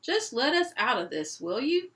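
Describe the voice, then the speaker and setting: A woman's voice: high-pitched, big pitch swings, moderate pace, fairly bright tone, pitched above the performer's own voice. A woman voicing a great male lion, hearty and commanding, calling out orders in a children's storybook.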